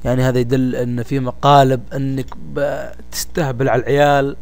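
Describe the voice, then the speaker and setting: A man with a Gulf Arabic accent presenting a software tutorial step by step in an informal, colloquial way, addressing listeners familiarly. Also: mid-range voice, level tone, brisk, clean tone. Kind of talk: casual step-by-step screen tutorial. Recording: home recording with faint background noise.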